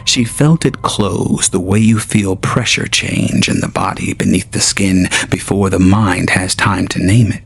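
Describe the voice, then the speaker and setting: A man narrating a scripted audio drama in a slow, solemn, deliberate way. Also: low voice, fairly even intonation, creaky, a little hiss or hum. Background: faint music.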